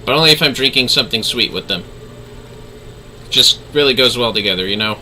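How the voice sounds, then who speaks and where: Male game streamer, very bright lively voice, easygoing and chatty, slight background noise. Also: mid-range voice, fairly quick, low-quality recording. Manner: easygoing, chatty, calls viewers fellas. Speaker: livestreaming gamer host. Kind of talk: casual gaming livestream chat.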